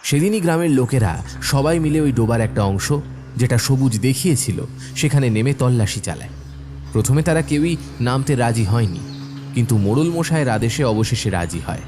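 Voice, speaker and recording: A male narrator tells a scripted spooky cartoon tale with dramatic delivery. His voice is mid-pitched, with music clearly playing behind it. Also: expressive, normal speed.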